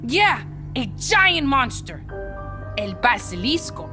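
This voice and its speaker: High, lively young boy. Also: slow pace, music in the background, heavily acted. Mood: earnest. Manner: eager, exclamatory. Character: excitable, naive young boy guerrilla, curious and eager. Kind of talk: video game cutscene acting.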